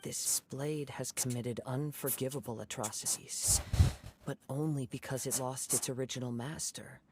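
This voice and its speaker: A young man voicing a gentle wandering samurai in a video game, with a calm, composed and reflective way of talking. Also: medium pitch, moderate pace, noisy background, close to an everyday voice. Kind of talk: game voice acting. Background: game music.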